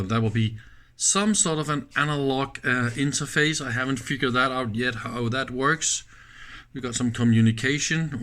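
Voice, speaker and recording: A man presenting a casual hands-on demonstration, speaking in halting pieces and often checking in with short questions. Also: medium pitch, a bit bright. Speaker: presenter of electronics teardown videos.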